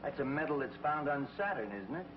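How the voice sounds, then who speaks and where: A self-assured young space hero working through clues, played by a man. He talks in a brisk, matter-of-fact way, slightly higher than most men. Clear hiss runs under a dull old TV print. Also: lively intonation, close to an everyday voice. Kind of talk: 1950s TV drama acting.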